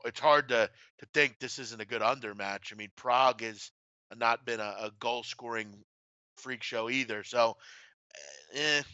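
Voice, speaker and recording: A man hosting a casual sports betting discussion, speaking bluntly with some swearing and halting asides. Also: medium pitch, sharp tone, clear, resonant tone, no room sound. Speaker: sports betting show host. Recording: slightly dull, dry lo-fi audio.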